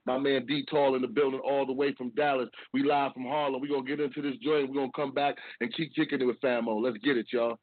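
A man's voice: medium pitch, little pitch movement, fast talker, few pauses. An American man hosting a casual hip hop radio interview, slangy and given to repeating his phrases. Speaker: radio show host. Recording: narrow phone-like radio sound, dry.

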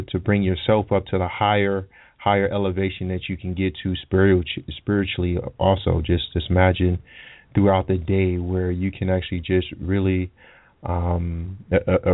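A man hosting a podcast, talking haltingly with many fillers and stammered repeats. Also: low voice, no room sound. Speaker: podcast host. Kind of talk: conversational interview. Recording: tinny phone-like line, dry.

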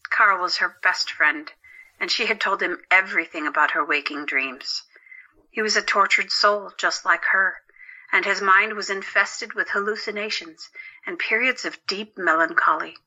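A woman's voice: fairly low pitch, moderate pace, sharp tone, rough recording. A woman reading scripted story narration for an audio drama.

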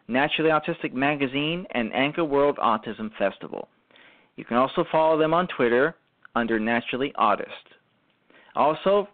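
Halting male radio host, muffled audio. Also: mid-range voice, dry recording. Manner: halting, often restarts and repeats phrases. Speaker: radio show host and writer-performer. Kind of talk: show commentary, semi-prepared talk.

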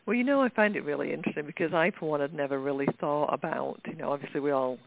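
A woman's voice: deep voice, rapid-fire, vocal fry. Upbeat woman radio host on a lo-fi line. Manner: upbeat, with frequent "you know" fillers. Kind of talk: hosted interview chat. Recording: phone-like lo-fi line.

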